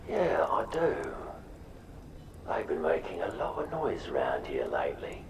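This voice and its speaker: An actor playing a shady, knowing male scout and informant in a game cutscene, hushed and conspiratorial, in an echoey space. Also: deep voice, huge pitch swings, measured pace, noisy background, exaggerated voice. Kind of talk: video game cutscene acting. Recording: echoey, distant from mic.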